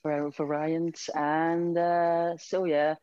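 A woman's voice: low voice, flat delivery, drawn-out words, warm tone, clear, resonant tone, no echo. A woman from Belgium, a Dutch speaker, answering interview questions in English, hesitant with many fillers. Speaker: interview guest. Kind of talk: casual video-call interview. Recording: dull lo-fi sound.

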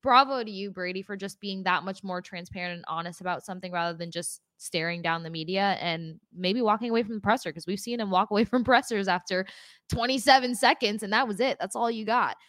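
A woman's voice: mid-range voice, quick pace, fairly bright tone, no room sound. A woman co-hosting a sports podcast, giving casual, conversational analysis and reaction with emphatic points.

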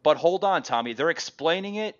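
A man's voice: a little high, lively intonation, fairly quick, fairly bright tone, highs cut off. A man hosting an unscripted political debate, insistent and repeating his phrases for emphasis. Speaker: talk show host.

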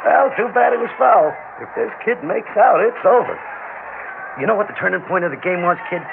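A man's voice: high-pitched, expressive, a little fast, gravelly. An actor with an American accent playing a fond, childlike working-class father and baseball fan in a 1960s radio drama, chatty and folksy. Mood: excited. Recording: noisy lo-fi old radio recording.

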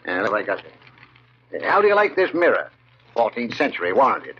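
Gruff, shrewd shopkeeper, muffled. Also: male voice, fairly low pitch, wildly swooping pitch, moderate pace, full character voice. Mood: sly, wry. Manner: curt, wry, salesman's patter. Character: gruff, shrewd, mercenary antique dealer, male. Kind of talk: 1940s radio horror acting. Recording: muffled, noisy old radio recording.

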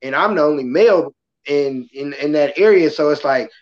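A passionate, loud-voiced man hosting a casual podcast talk, acting out quotes as he goes. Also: on the high side, moderate pace, lo-fi sound. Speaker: podcast host; kindergarten school worker.

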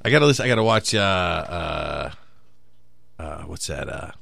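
A man's voice: deep voice, expressive, moderate pace, creaky, fairly bright tone. Joking, rambling male podcast co-host. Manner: joking, rambling, trails off mid-thought. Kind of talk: casual banter. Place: small studio room.

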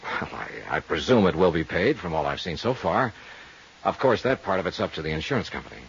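Deep-voiced hard-boiled male private eye. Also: sing-song, fairly quick, creaky, husky, highs cut off, heavily acted. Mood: businesslike, guarded. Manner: clipped, terse, dry delivery. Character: wry, hard-boiled male insurance investigator. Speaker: detective narrator and lead character. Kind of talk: 1950s radio drama acting, first-person narration. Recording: vintage 1950s radio recording with music.

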